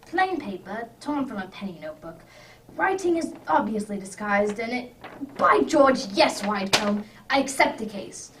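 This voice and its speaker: A woman plays a hearty gentleman detective in a radio drama, speaking high and with big swings of pitch. The manner is exclamatory and courteous, heard slightly off the mic with some faint noise. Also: very animated, moderate pace, recorded from a distance. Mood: keen, urgent.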